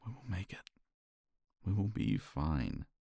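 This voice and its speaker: A man speaking softly and reflectively in a romantic ASMR roleplay, sighing often and sometimes dropping to a whisper, close to the mic. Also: low voice, sing-song, slow, vocal fry, slightly rough, no echo. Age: older. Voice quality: soft, intimate, drifts into whispers.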